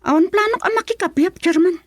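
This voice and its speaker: A woman's voice: very high-pitched, a little fast, no echo, studio quality. A woman playing a stubborn, offended villager who gives huffy, defiant retorts in a comedic radio drama. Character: stubborn offended woman refusing to make peace.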